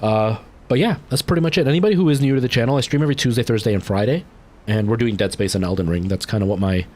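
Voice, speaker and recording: A man's voice: fairly low pitch, racing, a little mellow. Chatty young man streamer, rambling. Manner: chatty, rambling, many fillers and restarts. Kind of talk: casual chat ending a game stream.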